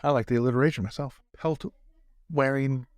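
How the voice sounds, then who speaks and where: A man's voice: mid-range voice, fairly even intonation, fairly quick, a little mellow, no room sound, studio quality, close to an everyday voice. A man improvising a fussy, chatty, drily teasing bodyguard and former fencing professor in a tabletop roleplay. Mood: dry teasing.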